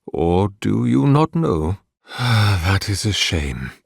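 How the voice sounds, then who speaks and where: An actor playing an ancient, devout priest in a video game, speaking with weary, formal, melancholy courtesy. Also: male voice, on the low side, very animated, gravelly, breathy edge, dry recording, studio quality, full character voice. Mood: courteous, weary. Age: older. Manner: formal, measured, eloquent, solemn. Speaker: priest of a temple relic. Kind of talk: scripted video game dialogue.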